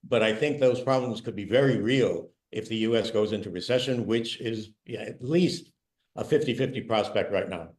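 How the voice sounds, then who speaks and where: A man on an online news panel, speaking in a measured, analytical way. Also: fairly low pitch, a little mellow. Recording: echoey room.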